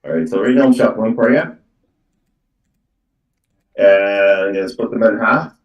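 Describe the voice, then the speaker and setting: A man giving a live spiritual card reading as a psychic medium, speaking in halting, repetitive phrases. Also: lowish voice, far from the mic, muffled recording. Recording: roomy, echoey, away from the mic.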